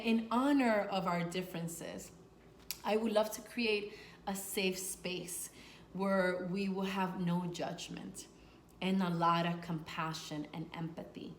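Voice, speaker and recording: A Dominican woman from New York, a life coach, gives conversational, earnest advice to camera with informal phrasing and emphasis on key words. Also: on the low side. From Dominican, grew up in New York. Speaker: sex and life coach, video host. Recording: echoey room with faint noise.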